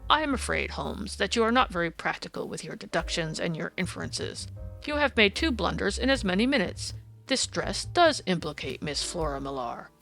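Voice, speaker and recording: A woman voicing a gruff, irritable policeman in an audiobook, snarling and emphatic with indignation. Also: lowish voice, very animated, moderate pace, fairly bright tone, soft background music, heavily acted. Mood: bitter. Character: gruff, irritable, blustering male police detective.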